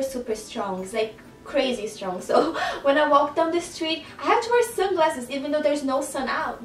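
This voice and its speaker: Chatty Brazilian woman, high voice. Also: slight background noise. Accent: Brazilian Portuguese. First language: Portuguese. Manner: chatty, laughs often, stresses words by repeating them. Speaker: vlogger. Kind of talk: casual to-camera vlog. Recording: roomy, slightly distant camera audio.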